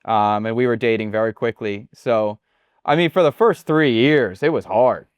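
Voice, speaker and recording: American guy talking about his life abroad, smooth clear voice, dry close sound, kinda halting. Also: fairly low pitch, no echo. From United States. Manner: relaxed, halting, repeats words. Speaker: chef and guest. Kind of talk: casual interview chat.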